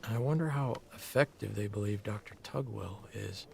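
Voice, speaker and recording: An actor playing a blunt, dryly teasing senior newspaper editor in a naturalistic audio drama. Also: male voice, lowish voice, vocal fry, darkish tone, a little hiss or hum. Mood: musing, businesslike. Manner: brisk, frank, dryly teasing.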